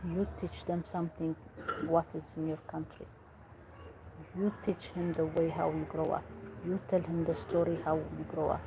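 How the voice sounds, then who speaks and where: A woman's voice: low-pitched, level tone, moderate pace, noisy background. A woman from Eritrea, earnestly giving parenting advice in English and repeating herself for emphasis. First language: Tigrinya or other Eritrean language. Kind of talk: earnest talk to camera.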